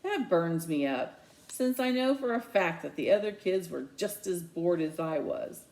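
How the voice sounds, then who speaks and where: A man's voice: high-pitched, animated, a little slow, darkish tone, low-quality recording. A woman voicing a resentful, sarcastic middle-school boy in a children's novel read aloud. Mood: bitter, sarcastic. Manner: indignant, sarcastic.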